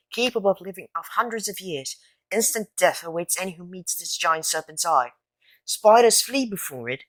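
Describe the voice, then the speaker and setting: A woman voices an earnest boy wizard in an audio play. The voice sits in a middle register, thoughtful and deliberate, reasoning things out with conviction. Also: medium pitch, fairly bright tone, close to an everyday voice. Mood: reading gravely. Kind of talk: fan audio drama acting.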